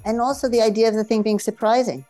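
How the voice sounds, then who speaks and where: A woman's voice: on the high side, steady tone, quick pace, warm tone, soft background music. A woman artist speaking casually as an interview guest.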